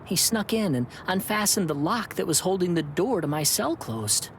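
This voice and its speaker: A woman voicing a timid, earnest young male healer in an anime game, speaking gently and politely with some hesitation. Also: lowish voice, animated, normal speed, a little hiss or hum. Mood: hesitant, apologetic.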